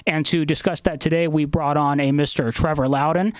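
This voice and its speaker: A man's voice: on the high side, fairly even intonation, brisk, clear, resonant tone, dry recording. A man hosting a podcast interview, talking in a hesitant way with many fillers and restarts. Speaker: podcast host.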